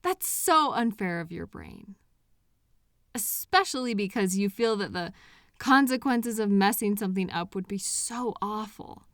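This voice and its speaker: Slow, tender girlfriend comforting her partner , acted by a woman. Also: mid-range voice, big pitch swings, fairly bright tone, no echo, clean studio sound. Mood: sympathetic, indignant. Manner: tender, reassuring, unhurried pauses with sighs. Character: loving, patient girlfriend comforting an anxious partner. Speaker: audio roleplay performer. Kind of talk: intimate comfort roleplay audio, speaking softly to a partner.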